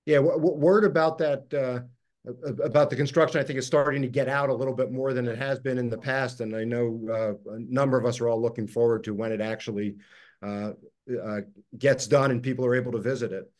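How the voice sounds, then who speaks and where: A middle-aged man hosting an interview over a video call, speaking haltingly with many fillers and restarts. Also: mid-range voice, fairly even intonation, a little mellow. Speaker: host, organization president.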